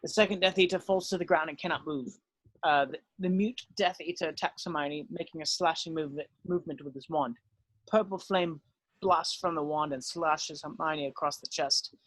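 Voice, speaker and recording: A man narrates stage directions from a script. His voice sits a little high for a man, and the sound is dry and free of room echo. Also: normal speed, a bit bright.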